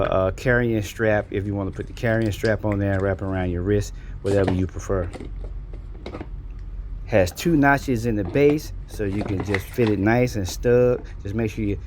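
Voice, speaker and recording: A man's voice: on the low side, darkish tone, a little hiss or hum. A man giving a casual, folksy product walkthrough as a reviewer in an unboxing video.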